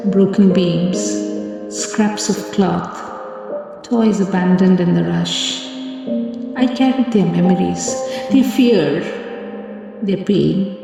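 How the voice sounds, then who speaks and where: A woman recites poetry slowly and solemnly, in short measured phrases. Her voice has a gravelly, creaky edge. It rings in a long, echoing hall reverb with loud music playing underneath. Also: medium pitch. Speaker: poet. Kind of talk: poetry reading over music.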